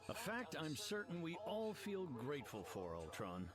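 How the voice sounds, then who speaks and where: A man's voice: on the high side, huge pitch swings, moderate pace. A man voicing a shrewd, distrustful genius superhero in a video game, dry and articulate. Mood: dry, wary. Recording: game audio with music.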